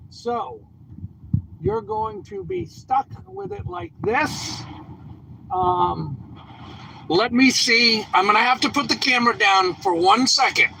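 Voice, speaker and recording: A man's voice: sits high, vocal fry, bright, forceful tone, slight background noise, poor audio. A man hosting a casual live stream from inside a truck on his phone, chatty and a bit congested from a lingering cough. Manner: rambling, chatty, fumbling with gear. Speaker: homestead channel host. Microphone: phone camera held in hand.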